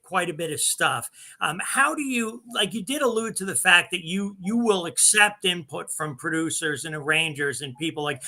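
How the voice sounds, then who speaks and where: A man hosts a music talk show with a very bright, forward voice, pitched a bit high. He asks long, winding questions in a friendly way and shares his own stories. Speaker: radio show host. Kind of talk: interview questions.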